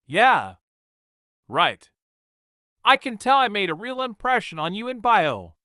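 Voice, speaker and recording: A nervous teenage boy on the run speaks earnestly, with a wry, self-mocking tone, as a man puts him on. The voice is raised above the man's usual pitch and has a lot of movement. It is recorded close and dry. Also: very animated, measured pace, bright, forceful tone, no room sound, full character voice. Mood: concerned.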